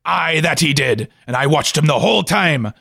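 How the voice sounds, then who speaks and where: Surly pirate, gravelly creak, highish swooping pitch, pirate accent, boastful, old-time radio comedy. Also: male voice, lively intonation, moderate pace, a bit bright, dry recording, studio quality, heavily acted. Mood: gruff, haughty. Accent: put-on stage pirate. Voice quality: gravelly, rough. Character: surly, boastful male pirate captain, menacing and comic. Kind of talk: comic 1940s-style radio play acting.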